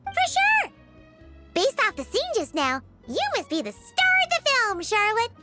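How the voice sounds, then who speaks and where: A tiny floating fairy creature with a piercingly high, sing-song voice. She chirps and exclaims in a childlike, bubbly way. Game music plays clearly behind her. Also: huge pitch swings, a little slow, heavily acted. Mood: chirpy. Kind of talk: video game voice acting.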